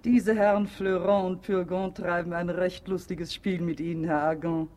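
A woman's voice: lowish voice, little pitch movement, moderate pace, a little mellow, a little hiss or hum, close to an everyday voice. A woman acting a cheeky, pert housemaid. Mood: mocking. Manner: pert, mocking, unimpressed. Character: cheeky, quick-witted housemaid, bold and plain-spoken. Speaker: actor. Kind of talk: German radio play comedy acting.

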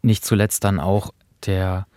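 A man with a low voice, talking thoughtfully and conversationally as a host discussing radio drama. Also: level tone, slow, mellow, no room sound.

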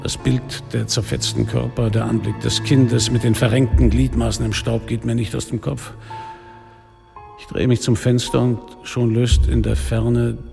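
An actor playing a weary, defiant middle-aged police inspector who narrates and speaks bluntly in a German radio crime drama. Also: male voice, mid-range voice, flat delivery, moderate pace, gravelly, music in the background. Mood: somber. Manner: blunt, sardonic, literary phrasing. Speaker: lead actor and first-person narrator.

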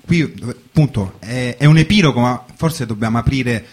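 A man speaking haltingly in an informal panel discussion, searching for his words. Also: medium pitch, darkish tone, breathy edge.

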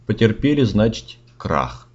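Low-voiced man narrating a rhymed tale. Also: big pitch swings, unhurried. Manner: performed storyteller delivery in verse. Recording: dull sound with music. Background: music.

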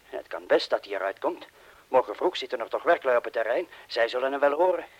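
Een acteur die in een hoorspel een aarzelende, bezorgde inbreker speelt, hees en vragend. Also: male voice, medium pitch, expressive, fast, lightly acted. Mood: worried. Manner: doubtful, questioning. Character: hesitant, anxious burglar worried about his mate. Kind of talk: Dutch-language radio drama acting. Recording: dull lo-fi radio play recording.

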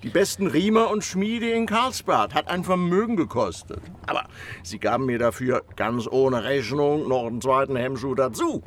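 An actor playing a grand, playful old privy councillor and poet in a German radio play, musing and theatrical. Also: male voice, mid-range voice, lively intonation, moderate pace, slight background noise, lightly acted. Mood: jovial, boastful. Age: older. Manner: theatrical, musing, swinging from exclamations to murmurs.

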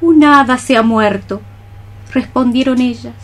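A woman's voice: on the high side, a little slow, a bit bright. A woman playing a melancholy, dreamy cockroach mystic in radio theatre, sighing her lines as solemn lyrical verse. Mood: mournful.

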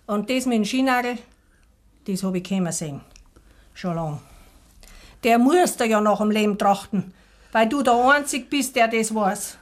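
An actress playing a practical, worried, middle-aged farmer's wife with a Bavarian accent in a 1950s dialect radio play. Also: female voice, mid-range voice, normal speed, long pauses, close to an everyday voice. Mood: resolute, knowing. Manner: plain-spoken, fretting dialect speech. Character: farmer's wife of many years, anxious, practical, scolding.

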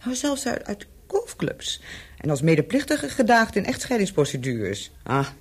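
A worldly woman hotel owner in a radio drama, with a low, husky voice that swings widely in pitch. She is teasing, sardonic and theatrical, mocking an old lover. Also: sing-song, a little slow. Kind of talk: radio play acting.

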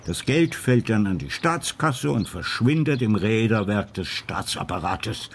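Ein Schauspieler spricht in einem Hörspiel einen gebrechlichen, selbstsicheren alten Polizeichef im Ruhestand, der bedächtig argumentiert. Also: male voice, lowish voice, expressive, measured pace, a little hiss or hum. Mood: calm, justifying. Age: older. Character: frail, self-assured old retired Dutch police chief. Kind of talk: radio play acting.

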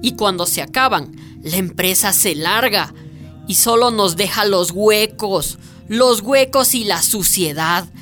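A woman's voice: medium pitch, lively intonation, a little slow, a bit bright, faint music behind. An actress playing an outspoken woman activist who speaks indignantly in a radio drama. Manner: indignant, emphatic.

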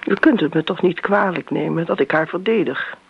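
A bitter middle-aged daughter in a radio drama, her voice creaky plain. She speaks in pointed, sarcastic reproaches. The old recording is muffled, with some hiss behind her. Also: fairly low pitch, a little fast. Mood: accusing, defensive. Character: bitter, accusing grown daughter defending her mother. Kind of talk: radio play acting.

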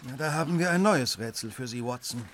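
An actor playing a keen, determined Victorian gentleman detective in a radio play, with precise, polite diction. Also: medium pitch, expressive, a little fast, a little hiss or hum, lightly acted. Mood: thoughtful, urgent.

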